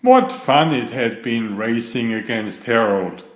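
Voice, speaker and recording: A man reading a children's bedtime story aloud in a deliberate, expressive storybook manner. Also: medium pitch. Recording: roomy, echoing voice recording.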